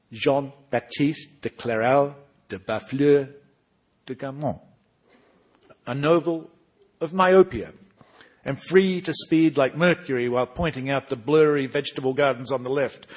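A man with a husky voice reading aloud as a young, nearsighted French nobleman who is carefree and dashing. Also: mid-range voice, unhurried, voice pushed up, natural voice. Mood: breezy, giddy. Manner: lively storybook reading, lifted and louder than his asides. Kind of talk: reading aloud from his own book.

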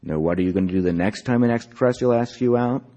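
A man's voice: mid-range voice, quick pace, warm tone, muffled recording, voice pushed up, lightly acted. A man voicing a smug, avuncular middle-aged doctor from an old educational film in a patronizing manner.

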